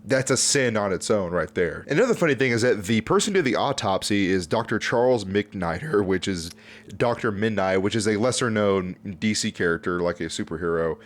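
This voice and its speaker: A man's voice: lowish voice, animated, a little fast. A man hosting an online video, giving casual, off-the-cuff commentary in a chatty, informal, rambling way. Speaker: YouTube host reviewing fan videos.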